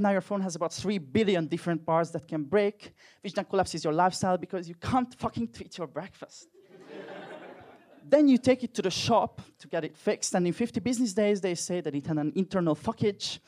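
A man's voice: high-pitched, fairly quick, darkish tone. A young man with a Hungarian accent doing a dry, self-mocking stand-up comedy set with crude jokes. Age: young adult.

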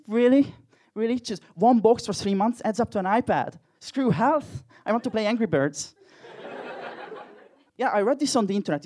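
A man's voice: high voice, a little mellow. Young Hungarian-accented man doing stand-up. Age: young adult. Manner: dry, self-mocking, crude jokes. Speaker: stand-up comedian. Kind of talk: stand-up comedy set.

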